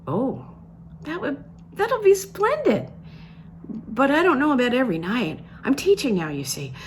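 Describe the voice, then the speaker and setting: A mercurial young woman from a novel, voiced by a woman reader, sounds restless and a little self-conscious. Her pitch rises and falls very freely, over faint noise. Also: sing-song, measured pace. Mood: pleased, hesitant.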